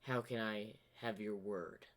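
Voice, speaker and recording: A man's voice: lowish voice, steady tone, measured pace, lightly acted. A man voicing a wary, distrustful zebra hero in a fan-made fantasy cartoon, terse and questioning. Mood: suspicious.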